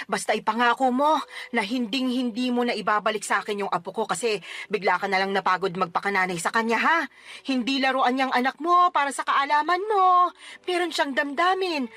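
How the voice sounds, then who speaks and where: A woman's voice: on the high side, sharp tone. A woman playing an older mother and grandmother in a radio drama, playful and teasing, then firm and lecturing. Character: teasing, doting older grandmother, stern when needed. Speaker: mother character.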